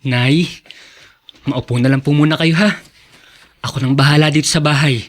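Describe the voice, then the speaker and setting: Guy acting caring young filipino son in a radio drama, earnest n respectful, kinda high voice. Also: fairly even intonation, normal speed, lightly acted. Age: young adult. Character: devoted, earnest student son, caring and anxious. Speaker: actor in a drama.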